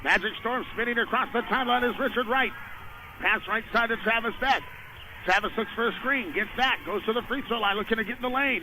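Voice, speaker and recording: A man doing live radio play-by-play of a basketball game in clipped phrases, rising to excited shouts on big plays. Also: high voice. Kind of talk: live radio sports commentary. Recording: noisy radio broadcast from a gym.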